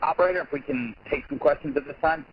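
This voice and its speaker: A man's voice: on the high side, fast talker, background noise. A man hosting a press conference call, giving an informal introduction over a muffled phone line. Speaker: campaign aide introducing the speaker and hosting the call.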